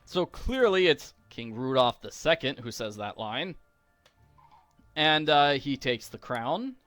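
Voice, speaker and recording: A man's voice: mid-range voice, very animated, takes it slowly, fairly bright tone, no room sound. A man giving casual, playful walkthrough commentary on a video game, explaining each step as host. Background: music.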